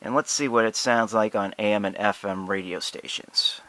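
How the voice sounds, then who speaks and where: Bright-voiced American man, halting and low-pitched. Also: steady tone. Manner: halting, hesitant delivery with drawn-out pauses. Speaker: product reviewer. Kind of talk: casual unscripted product demonstration.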